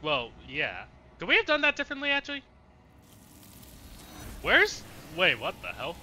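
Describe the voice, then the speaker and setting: Male let's player: higher-pitched, very bright voice, casual and exclamatory, faint noise behind. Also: huge pitch swings, normal speed. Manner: reactive, exclamatory. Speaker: let's play streamer. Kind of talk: casual gameplay commentary.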